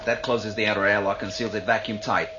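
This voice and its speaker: An actor playing a smug, menacing starship hijacker in a radio drama, with sardonic, unhurried threats. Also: male voice, fairly low pitch, steady tone, natural voice. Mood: smug menace. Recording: dull, noisy old radio recording.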